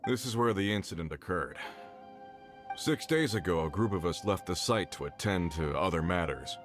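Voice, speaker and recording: An actor playing an earnest, worried mine foreman in a video game who speaks gravely. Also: sits low, lively intonation, moderate pace. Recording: game audio with background music. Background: game music.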